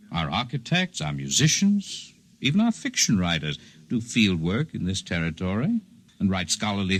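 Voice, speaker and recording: An actor playing a calm, erudite alien healer in a 1950s radio drama, speaking in formal, precise, gently condescending phrases. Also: male voice, on the low side. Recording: 1950s radio broadcast recording.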